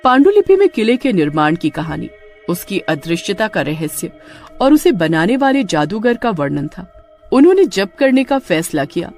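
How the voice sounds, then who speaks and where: A woman narrates a scripted tale with dramatic delivery. Her mid-range voice moves in lively swings of pitch. Soft music plays behind her. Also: moderate pace. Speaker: story narrator. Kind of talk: scripted Hindi story narration.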